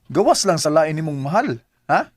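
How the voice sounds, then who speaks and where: A man's voice: fairly high pitch, big pitch swings, moderate pace, no echo. An actor playing a smitten, playful young ladies' man in a Bisaya radio drama, with a Filipino accent. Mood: tender, eager.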